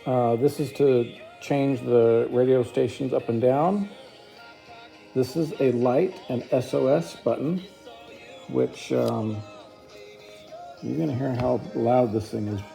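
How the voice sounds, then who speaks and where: A man with a gravelly, mellow voice talks through a product demonstration in a casual, plain way. He explains things informally, step by step, with faint music in the recording. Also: medium pitch, fairly even intonation, slow pace, long pauses. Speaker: product reviewer.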